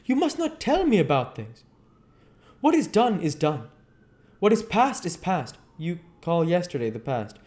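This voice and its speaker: A man's voice: high voice, very animated, measured pace, a little mellow, pitched above the performer's own voice. A man voicing a vain, callous young aristocrat, haughty and flippant, from a classic novel. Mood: indignant.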